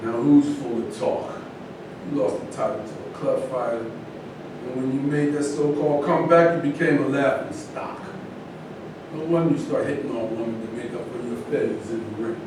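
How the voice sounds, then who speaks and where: A man's voice: mid-range voice, normal speed, lightly acted. A man reading a scornful, taunting veteran boxer in a staged play, heard from a distance in a noisy, echoing room. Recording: echoey noisy room, far from mic.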